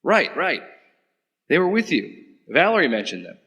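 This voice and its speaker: An actor playing a sarcastic, flustered young government agent in an audio drama. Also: male voice, a little high, huge pitch swings, normal speed, fairly bright tone, slightly rough, big-room sound, dull audio.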